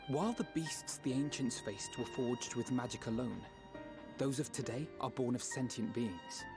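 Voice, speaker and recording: Man playing a grave, measured scholar. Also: medium pitch, music in the background, lightly acted. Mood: grave, pondering. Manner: measured, deliberate, formal. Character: grave, analytical male scholar. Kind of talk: fantasy game voice acting.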